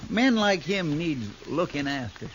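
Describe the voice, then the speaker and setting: An actor playing a folksy, deferential frontier marshal's sidekick, earnest and hesitant, in a 1950s radio western. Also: male voice, fairly high pitch, lively intonation, unhurried. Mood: earnest, concerned. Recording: noisy 1950s radio recording.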